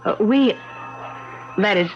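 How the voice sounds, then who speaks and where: A woman's voice: mid-range voice, slow pace, lightly acted. An actress playing a loving, anxious mother in an old-time radio drama, genteel and earnest. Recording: muffled old radio broadcast, music behind.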